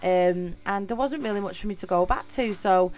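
A woman's voice: medium pitch, moderate pace, darkish tone. Chatty Mancunian woman hosting a podcast. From Manchester, England. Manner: chatty and rambling, with many fillers and restarts. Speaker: podcast host. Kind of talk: casual solo podcast monologue.

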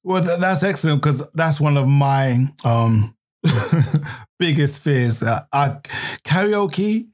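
A man's voice: a little high, animated, takes it slowly. A man hosting a podcast interview, chatty and laughing often, restarting his sentences. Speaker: podcast host.

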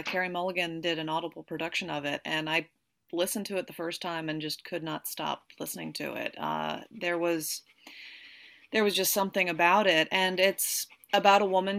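A woman's voice: lowish voice, fairly bright tone. A woman, an actress, chatting enthusiastically about her work in an interview. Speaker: actress guest. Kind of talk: casual interview answer.

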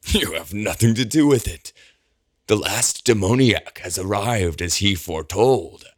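A man voicing a manic, drunken old male prophet with a gravelly, slurred voice. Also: lowish voice, animated, unhurried, dry recording, clean studio sound, pitched above the performer's own voice. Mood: scornful. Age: older.

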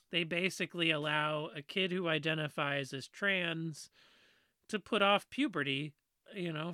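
A woman with a fairly deep voice, earnestly explaining a topic in a casual discussion. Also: sharp tone, no echo, clean studio sound. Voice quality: fairly deep voice she trained herself to talk around. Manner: earnest, explanatory, with frequent "you know" fillers.

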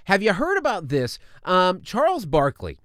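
A man's voice: high voice, huge pitch swings, normal speed, fairly bright tone, no room sound. Chatty man hosting a podcast. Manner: chatty, rambling, upbeat. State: slightly strained voice from allergies. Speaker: podcast host. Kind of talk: casual podcast monologue. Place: studio.

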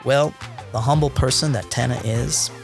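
A man's voice: medium pitch, fairly even intonation, moderate pace, gravelly. A man narrating a career podcast from a script, with a playful, wry tone. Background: soft music.

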